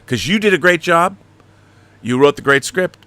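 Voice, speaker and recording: A man hosting a casual livestream, explaining things in a rambling, animated, slangy way, like a director talking shop. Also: on the high side, moderate pace, dry recording. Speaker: show host and audio drama director.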